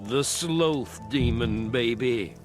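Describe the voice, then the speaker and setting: An actor playing a folksy, scheming agent in a video game. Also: male voice, mid-range voice, slow, soft background music, close to an everyday voice. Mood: gloating. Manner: drawling, gloating, folksy.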